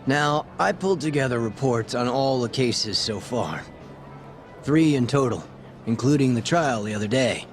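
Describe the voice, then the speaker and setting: Smug, sly crooked cop played by a man, lively delivery. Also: mid-range voice, normal speed, faint music behind, lightly acted. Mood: sly, conspiratorial. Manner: breezy, cocky, wry, teasing. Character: smug, sly corrupt male police detective selling information. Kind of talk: English video game dub cutscene acting.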